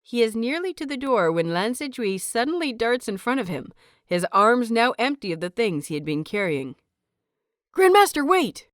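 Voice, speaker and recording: A woman narrating a scripted story as an audiobook, with expressive, deliberate and dramatic phrasing. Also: fairly high pitch, no echo, clean studio sound.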